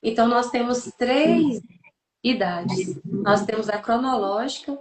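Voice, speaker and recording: Brazilian female, 30s, therapist-host. Slightly high pitch, didactic, repeats for emphasis, roomy echo. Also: takes it slowly, a little hiss or hum. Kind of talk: explanatory podcast conversation. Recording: echoey, roomy sound.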